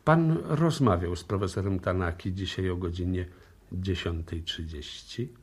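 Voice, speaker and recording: An actor playing a probing, politely insistent police inspector in a radio play, formal and dry in manner. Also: male voice, low-pitched, unhurried, a little mellow, breathy edge, full character voice. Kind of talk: 1960s Polish radio play acting.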